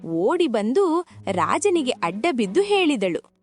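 A woman narrating a scripted children's folktale in an expressive storytelling manner. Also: fairly high pitch, slow. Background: background music.

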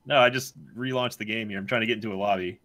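A man's voice: on the low side, fairly even intonation, very fast, fairly bright tone. A man talking casually with friends as he plays a video game. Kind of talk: casual chat while gaming with friends.

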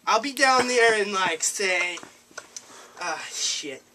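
Young male prank caller: bright, forward voice, rambling and overly familiar, noisy amateur camera sound. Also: high voice, animated, takes it slowly. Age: young adult. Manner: rambling, hesitant, overfamiliar. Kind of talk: prank phone call. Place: small cluttered room indoors. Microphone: handheld camera a few feet away.

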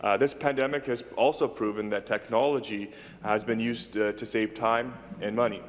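A man's voice: lowish voice, long reverb tail, slight background noise. A man answering as a government minister in a large legislative chamber, hesitant with frequent fillers, on a desk microphone. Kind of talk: parliamentary answer.

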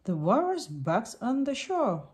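A woman reads a picture book aloud to young children. She takes it slowly and deliberately, with very lively swings of pitch. There is light background noise and some clicking. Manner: deliberate, short simple sentences.

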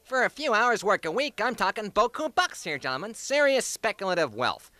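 A high male voice, lively and very bright, in a dry close recording. It is a patronizing, glib commodities man from a comedy sketch, as an actor plays him. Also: a little fast, no room sound, full character voice. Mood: smug. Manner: pompous, smooth-talking, patronizing. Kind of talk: radio comedy sketch acting.

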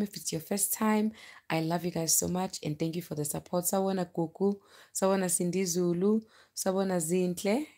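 A South African woman in her thirties chatting casually and animatedly on a livestream, telling personal stories into a phone in a bedroom. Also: lowish voice. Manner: chatty, animated, breaking off to greet viewers. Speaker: livestream vlogger, storyteller. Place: bedroom, indoors, lying in bed. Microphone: phone at arm's length.

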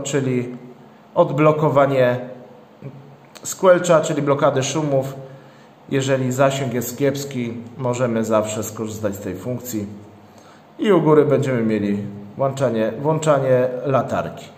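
A man's voice: fairly high pitch, darkish tone. A man giving an unscripted, matter-of-fact unboxing narration as a product reviewer, going through things methodically. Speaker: product reviewer, channel host. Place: indoors, at a tabletop. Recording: echoey hard-walled room, long reverb.

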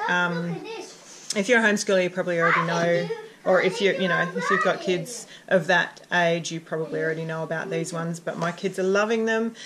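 Woman, Australian accent, lowish pitch, casual vlog chat, noisy children in the background. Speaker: homeschooling parent and vlogger. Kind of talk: casual vlog book round-up. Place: room at home. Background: young children playing noisily.

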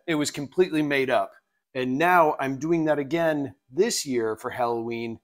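A middle-aged man chatting casually as he draws on a live stream, musing and muttering to himself. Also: mid-range voice. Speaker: webcomic artist live streaming. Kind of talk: casual chat while drawing. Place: small home room with full bookshelves.

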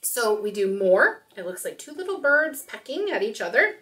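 A woman's voice: fairly high pitch, very animated, normal speed, fairly bright tone, recorded from a distance. A woman in her thirties hosting storytime, talking and reading aloud expressively to young children. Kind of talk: children's storytime talk and picture-book read-aloud. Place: small room indoors.

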